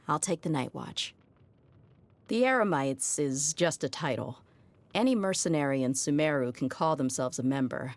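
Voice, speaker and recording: A young female mercenary of the desert for a video game, in a low voice. She is confident and plain-spoken, loyal and wry, with a casual swagger. Faint music plays underneath. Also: full character voice. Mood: wry, easygoing, then confident, matter-of-fact. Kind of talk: scripted game character voice lines.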